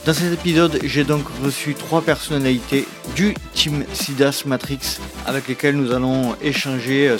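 Hesitant male podcast host, faint music. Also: medium pitch. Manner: hesitant, many fillers and repeated words. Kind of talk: casual podcast interview.

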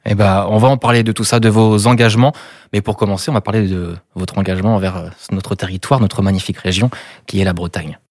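A man's voice: lowish voice, fairly even intonation, fast, darkish tone. A man from Brittany hosting a conversation with guests, upbeat, with playful game-show asides. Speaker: podcast host. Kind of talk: hosted conversation.

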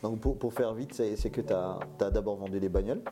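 A man's voice: fairly low pitch, fairly quick, over music. A man co-hosting a podcast, casually chatting and prompting his partner with short replies. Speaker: podcast co-host. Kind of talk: casual chat.

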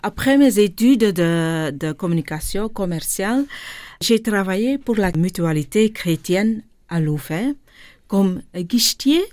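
A woman with a Flemish accent, a native Dutch speaker, talking in a radio interview, hesitant and searching for her words with many pauses and ums. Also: mid-range voice, lively intonation, a little mellow, no room sound, studio quality. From India, raised in Flanders. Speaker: guest, charity director.

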